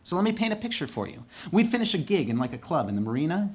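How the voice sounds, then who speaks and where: A man's voice: fairly high pitch, sing-song, fast, breathy edge. Candid man telling a personal story. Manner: candid, conversational, builds rhythm with repeated lists.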